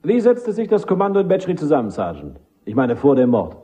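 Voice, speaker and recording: A man's voice: a little high, wildly swooping pitch, fast talker, warm tone. A man playing a cocky, vain army major in a German radio play, a military prosecutor who speaks formally and with self-assurance. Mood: formal, probing.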